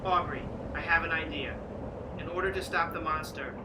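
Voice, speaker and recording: A young female reader voicing an earnest, bossy adult weatherman in a storybook, with slow, emphatic, declamatory delivery. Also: deep voice, pitched below the performer's own voice, close to an everyday voice. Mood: earnest, urging. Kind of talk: storybook character voice in amateur narration. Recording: roomy, distant mic, loud steady noise.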